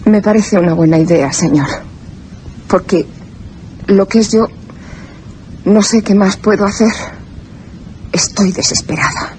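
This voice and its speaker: A woman plays a worried young lady in a radio drama. Her mid-pitched voice is theatrical, polite and plaintive. A little noise sits on the recording. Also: measured pace, pauses often, a little mellow, close to an everyday voice. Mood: worried, despairing. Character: worried, despairing young lady, devoted sister. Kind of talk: period radio drama acting. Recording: slightly noisy radio drama.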